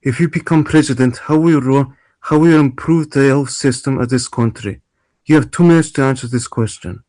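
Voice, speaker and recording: A man reading the part of a formal debate moderator in an amateur play table read, deliberate and repeating the odd word. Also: medium pitch, a little mellow, close to an everyday voice. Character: formal, measured male presidential debate moderator.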